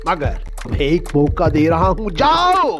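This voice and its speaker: A woman voicing a menacing, commanding male vizier in an animated story, with theatrical, imperious delivery. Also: deep voice, music in the background, exaggerated voice. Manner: imperious, theatrical, drawn-out threats.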